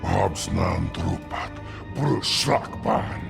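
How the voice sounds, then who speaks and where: Hulking, very gravelly orc brute. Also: male voice, deep voice, animated, very slow, over music, full character voice. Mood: weary growl. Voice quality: guttural, gravelly. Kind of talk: video game cinematic acting.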